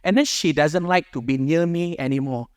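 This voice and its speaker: A man's voice: a little high, normal speed, clean tone, no echo, studio quality. A man, a friar sharing his personal story with a small group in an earnest, conversational way, speaking into a microphone. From Indonesia. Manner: earnest, conversational, rephrases as he goes.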